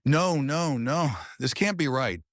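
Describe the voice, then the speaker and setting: A man's voice: a little high, takes it slowly, no echo, studio quality, voice pushed up. A man voicing a frightened young college athlete with a breathless, shaken manner in a story narration. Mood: panicked.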